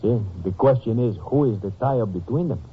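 An actor playing a courteous, methodical Mexican police agent in a 1950s radio drama, with a Mexican accent and hesitant, polite phrasing. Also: male voice, mid-range voice, normal speed, breathy edge, lightly acted. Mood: alert, keen. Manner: hesitant, polite, peppered with Spanish interjections. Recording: tinny, hissy 1950s radio recording.

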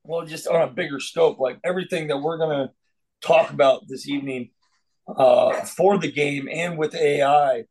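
Male panelist, slightly high voice, opinionated and halting. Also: fairly even intonation, a little mellow, poor audio. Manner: opinionated, hesitant restarts. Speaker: roundtable panelist. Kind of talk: casual podcast discussion.

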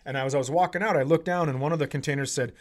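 A man hosting a comedy podcast, telling casual, profane stories in an animated way and acting out the quotes. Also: a little high, very fast. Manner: animated, profane, acts out quotes in his anecdotes.

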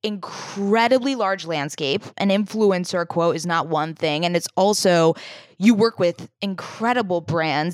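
A woman's voice: medium pitch, no echo. A woman hosting a podcast, asking long, winding, earnest questions. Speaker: podcast host. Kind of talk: interview questions.

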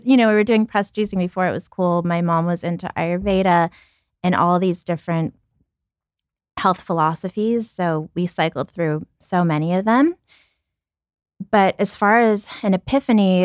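A woman in her thirties speaks candidly as a podcast guest, with a somewhat low voice. She thinks aloud, restarts her sentences and slips in light laughs. The sound is dry and close. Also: no room sound. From born in Ukraine, raised in Brooklyn, New York. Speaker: guest, company founder.

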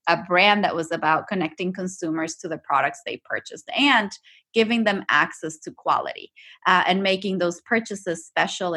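A woman's voice: on the low side, fairly bright tone. A middle-aged woman from Ecuador, a company co-founder answering questions in a podcast interview, thoughtful and full of fillers and restarts.

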